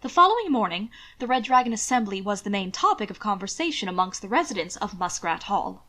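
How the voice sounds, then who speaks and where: A woman's voice: medium pitch, big pitch swings, fast, bright, forceful tone. A woman reading scripted fiction as an audiobook narrator.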